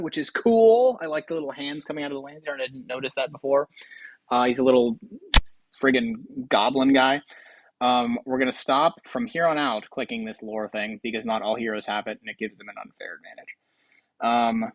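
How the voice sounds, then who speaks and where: A man hosts casual game commentary, thinking aloud in an offhand ramble. His voice sits at an average pitch and is recorded close and dry. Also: a bit bright, no echo, rough recording. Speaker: host of a game commentary show.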